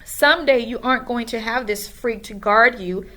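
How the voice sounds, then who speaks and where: A woman's voice: a little high, moderate pace, pushed and bright. Woman voicing a mocking schoolboy bully. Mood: jeering. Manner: mocking, snarling. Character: mean sneering schoolboy bully.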